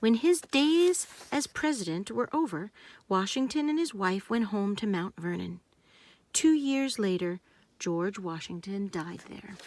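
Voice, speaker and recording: A woman narrating a children's storybook, reading aloud in simple, deliberate phrases meant for young listeners. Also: mid-range voice, darkish tone.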